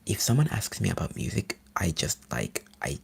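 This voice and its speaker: A man from Finland, a native Finnish speaker, rambling eagerly in a soft whisper as an ASMR live streamer. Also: low voice, sing-song, moderate pace, gravelly, husky.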